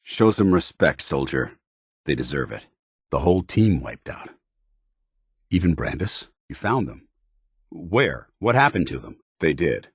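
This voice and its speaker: Stern duty-bound paladin, bass-deep, expressive swings, clipped military phrasing, game companion dialogue. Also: male voice, unhurried, pauses often, slightly rough, dry recording, clean studio sound, heavily acted. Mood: angry, stern, then grim, commanding. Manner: clipped, formal, earnest military delivery.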